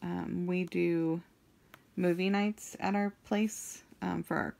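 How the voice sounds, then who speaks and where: Slow, low-voiced woman rambling while crafting. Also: vocal fry. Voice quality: deep, somewhat monotone voice. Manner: slow, meandering, many ums and trailing thoughts. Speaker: craft vlogger.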